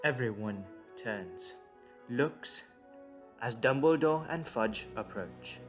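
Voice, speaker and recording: A man's voice: mid-range voice, lively intonation, slow. A man reading scripted dramatic narration as the narrator of an audio drama. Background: music.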